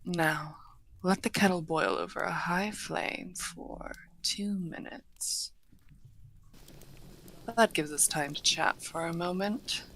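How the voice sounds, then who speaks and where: A woman's voice: lowish voice, a bit bright, slight background noise. A woman playing a courteous, refined half-dragon hostess in a fantasy roleplay, speaking formally with dry teasing. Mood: hospitable, gracious. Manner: genteel, formal, unhurried wording with dry teasing. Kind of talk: fantasy roleplay audio acting, addressing the listener.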